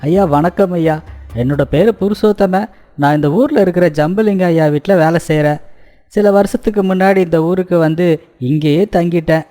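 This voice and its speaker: Man as poor village worker for cartoon fable: high voice, mellow, deferential, sincere. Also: normal speed, soft background music, natural voice. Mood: worried, respectful. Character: humble, earnest poor village labourer seeking work. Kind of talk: animated moral story dialogue.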